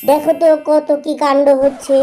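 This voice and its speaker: A woman voicing an excitable, indignant village animal neighbour in a children's cartoon, chattering and exclaiming. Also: high voice, fairly quick, natural voice. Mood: shocked. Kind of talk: Bengali children's cartoon voice acting. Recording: music behind the voice.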